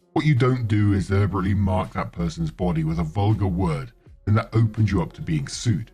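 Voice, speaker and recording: Male narrator, very low voice, reads online stories, soft music bed. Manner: reads commenters' posts aloud in an even, conversational storytelling tone. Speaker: narrator reading forum posts. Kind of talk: scripted narration. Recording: faint music underneath.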